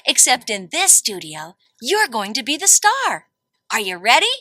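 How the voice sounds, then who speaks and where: A woman's voice: fairly high pitch, huge pitch swings, normal speed, sharp tone, no room sound. A woman hosting a recording studio session in a chirpy, emphatic presenter style, giving scripted, upbeat instructions to children.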